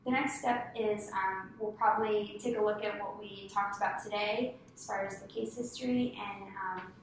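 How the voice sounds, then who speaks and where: A woman conducting a clinical case-history interview, asking polite, halting questions with many fillers. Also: mid-range voice, fairly even intonation. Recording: echoey room, distant mic, faint noise.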